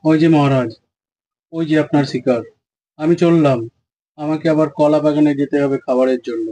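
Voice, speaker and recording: A slightly high, husky cartoon elephant voiced by a man. He is humble and deferential, always placating his master, heard in a dry recording. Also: fairly even intonation, normal speed, warm tone, no echo, natural voice, rough recording. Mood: hurried, obliging. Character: slow, humble elephant flattering a tyrant. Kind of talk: children's cartoon voice acting.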